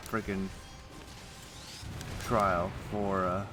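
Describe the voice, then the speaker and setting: A man's voice: deep voice, flat delivery, extremely slow. A man with a morning voice giving casual live commentary on a game stream, chatting informally to viewers in gamer slang. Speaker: game livestreamer. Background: music.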